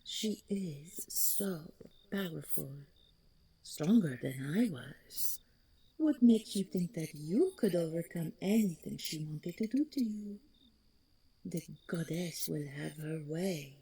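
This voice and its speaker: A woman playing the vain, taunting ghost of a deposed dragon queen in an audio roleplay, speaking theatrically and mockingly with a breathy voice that slips into whispers. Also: mellow, heavily acted. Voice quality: low, breathy, husky, creaky. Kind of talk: dramatic audio roleplay monologue.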